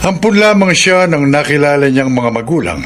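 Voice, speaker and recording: An actor playing a middle-aged, secretive family man in a radio drama, speaking in a thoughtful, measured way with pauses. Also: fairly high pitch, animated, fairly bright tone, a little hiss or hum. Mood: grave, secretive.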